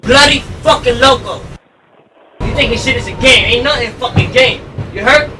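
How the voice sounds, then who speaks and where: Man acting a high-voiced, menacing street tough. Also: moderate pace, recorded from a distance. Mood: menacing, boastful. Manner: boastful, profane, confrontational. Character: belligerent, threatening male street tough. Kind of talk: soundboard clips played into a prank call. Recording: noisy lo-fi soundboard audio over phone.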